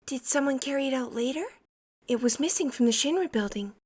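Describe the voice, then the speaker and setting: Lady doing serious mysterious young woman from a game, high soft husky voice, kinda skeptical, measured. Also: lively intonation. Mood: suspicious. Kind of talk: dramatic video game dialogue.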